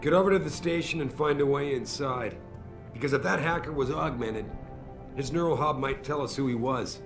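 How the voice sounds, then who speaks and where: A man's voice: medium pitch, normal speed, over music, lightly acted. Man voicing a blunt corporate boss. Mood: stern. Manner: blunt, clipped orders. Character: powerful corporate boss, middle-aged man, commanding. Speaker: video game cutscene character. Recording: game cutscene with loud score.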